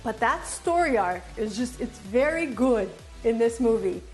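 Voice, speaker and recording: A woman's voice: a little high, expressive, measured pace, faint music behind, rough recording. A woman reviewing casually and off the cuff as a video host, enthusiastic and emphatic, often repeating phrases to drive them home. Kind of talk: casual unscripted review to camera.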